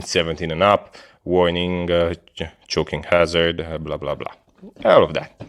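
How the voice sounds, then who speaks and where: A man from Italy with an Italian accent, a native Italian speaker, giving a casual, unscripted review as a video host in hesitant, halting, apologetic English. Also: low voice.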